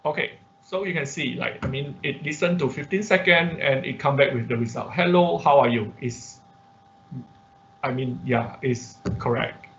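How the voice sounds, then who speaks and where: Halting male instructor, muffled noisy audio. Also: mid-range voice, a bit bright. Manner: halting, thinking aloud, repeats words. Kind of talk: informal online programming lesson with live demonstration. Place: online class call.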